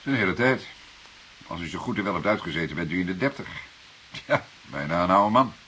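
An actor playing a senior police detective in a Dutch radio drama, deliberate, formal and dryly sardonic, with unhurried authority. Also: male voice, sits low, animated, bright, forceful tone. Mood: probing, dry. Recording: hissy old radio play recording.

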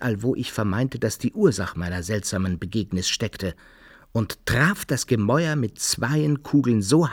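A man narrating an old adventure tale in a radio play, with expressive, dramatic storytelling. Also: medium pitch, breathy edge, dry recording, clean studio sound. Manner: expressive storytelling, archaic wording.

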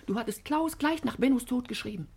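Anxious, doubting wife and mother. Extremely breathy and husky, dark-toned, hesitant, 1950s radio-play style. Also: fairly high pitch, animated, fairly quick. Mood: worried. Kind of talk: 1950s German radio drama acting.

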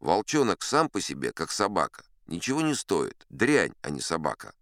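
An actor playing an old sea captain who spins colourful, self-assured tall tales in a wry, theatrical audiobook storytelling style, smooth and resonant. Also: male voice, deep voice, huge pitch swings, a bit bright, no room sound, clean studio sound, heavily acted. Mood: wry, bemused. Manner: wry, unhurried raconteur with dramatic emphasis. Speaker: narrator.

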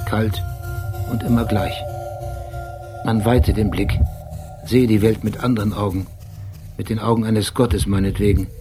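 An actor playing an obsessive, brooding police detective who narrates a radio play in a deliberate, precise, philosophical manner. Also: male voice, fairly low pitch, natural voice, low-quality recording. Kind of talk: radio play acting and literary monologue. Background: music.

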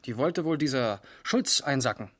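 A man's voice: mid-range voice, expressive, moderate pace, fairly bright tone. A man playing an exasperated, matter-of-fact police investigator in a radio crime drama, speaking in short emphatic sentences.

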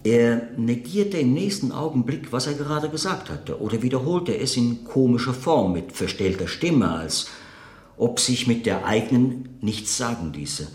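Actor doing earnest reflective guy in a radio play, gropes for words, really lively intonation, roomy sound. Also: mid-range voice, lightly acted. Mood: earnest, searching. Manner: searching, hesitant, earnest, pausing to reformulate. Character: reflective man recalling an enigmatic late writer friend. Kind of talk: naturalistic German radio play acting, interview-like monologue.

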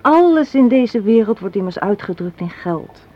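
A woman's voice: a little high, lively intonation, normal speed. An actress in a radio play playing an assertive, cynical young woman, arguing in an articulate, sardonic way. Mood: bitter, lecturing. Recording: muffled, hissy old radio play recording.

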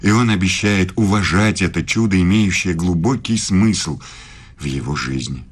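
An actor playing a married middle-aged philosophy professor in a radio play, speaking formally and with quiet, insinuating intimacy. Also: male voice, low-pitched, moderate pace, husky. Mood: earnest, guarded.

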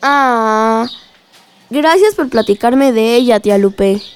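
A woman plays an eager little Mexican niece in a radio drama. Her voice is very high, and she speaks with candid, inquisitive curiosity. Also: animated, slow pace. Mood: curious.

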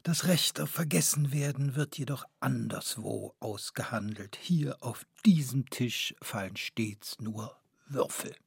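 Husky, dryly recorded sardonic male commentator. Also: mid-range voice, a little slow, no echo, studio quality, full character voice. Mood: dry, measured. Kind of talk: literary radio play, scripted three-way conversation.

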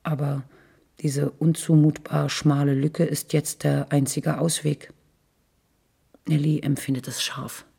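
A woman reading literary prose for radio in measured, terse phrases. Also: sits low, level tone, gravelly, warm tone, breathy edge.

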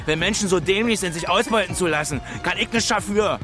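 A man's voice: high-pitched, brisk, lightly acted. An actor playing a bitterly mocking Berlin working man in a radio drama, with a local accent and a sneering, colloquial delivery. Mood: bitter, resigned. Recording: music behind the dialogue.

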